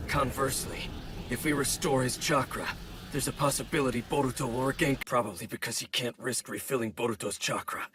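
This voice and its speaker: A man's voice: medium pitch, background noise, lightly acted. Terse, serious male anime mentor, acted by a man. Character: stern, analytical adult male ninja mentor. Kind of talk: anime dub acting. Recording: loud music behind the voice.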